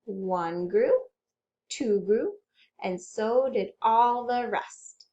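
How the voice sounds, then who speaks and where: A woman hosting a children's storytime, reading aloud and chanting rhymes in an animated, exaggerated way for young children. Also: on the high side, very slow. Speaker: children's librarian storytime host.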